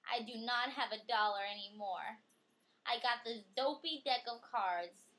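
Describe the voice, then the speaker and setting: A woman reading a picture book aloud to a child in an expressive way, with chatty, coaxing questions for the young listener. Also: on the high side, a little slow.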